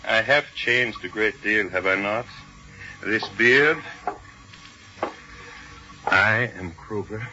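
An actor playing a scheming exiled portrait painter who blackmails with formal, courtly manners, in a 1940s radio drama style. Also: male voice, fairly low pitch, slow, long pauses, sharp tone, faint music behind, heavily acted. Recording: muffled old radio broadcast with faint hiss.

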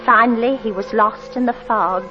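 A woman's voice: on the high side, moderate pace, over music, natural voice. An actress playing a timid, eager-to-please landlady who stammers deferentially, in old-time radio drama acting. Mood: hushed narration. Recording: dull old radio recording with faint hiss.

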